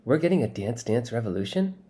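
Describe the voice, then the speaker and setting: A man's voice: mid-range voice, big pitch swings, quick pace, mellow, close to an everyday voice. Here is a man playing an eager, easily tempted boy in a children's audiobook. He has a keen, slightly wistful way of talking, as a good-natured sidekick. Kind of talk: acted audiobook dialogue.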